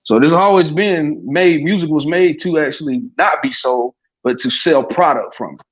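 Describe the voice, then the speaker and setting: A man's voice: fairly high pitch, moderate pace. A man on a podcast talking casually and loosely, swearing and dropping lots of "you know what I mean". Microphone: remote stream call. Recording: dull lo-fi sound.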